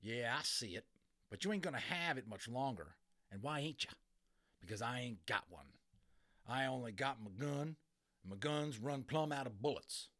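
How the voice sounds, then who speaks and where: A man's voice: mid-range voice, lively intonation, moderate pace, a bit bright. A man voicing a tiny, grumbling cowboy with a drawling Old West American accent in a dramatic storybook reading. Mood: blustering challenge. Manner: folksy, drawling, combative. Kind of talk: dramatic audiobook character reading.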